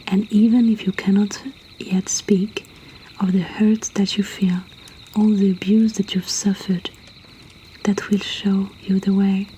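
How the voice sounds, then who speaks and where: A woman reading poetry and stories in a breathy whisper, in a soothing, hushed ASMR style. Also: medium pitch, slight background noise, poor audio. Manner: slow, soothing, hushed delivery. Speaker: poetry reader and host.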